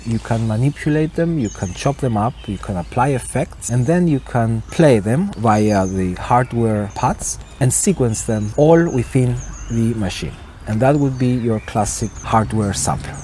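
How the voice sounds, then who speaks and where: A middle-aged man giving a casual, tongue-in-cheek vlog explanation to camera, speaking informally and deliberately. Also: medium pitch, lively intonation, dark tone. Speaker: video host and music producer. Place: outdoors, park woodland and street. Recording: outdoor ambient noise.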